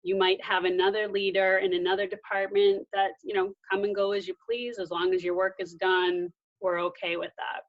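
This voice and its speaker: A woman speaks in a conversational, discussion-style way, as a guest explaining things from experience. Her voice sits at a middling pitch. The recording is slightly dull and lo-fi. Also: little pitch movement, normal speed, hardly any pauses. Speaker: guest sharing workplace experience. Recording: dull lo-fi sound.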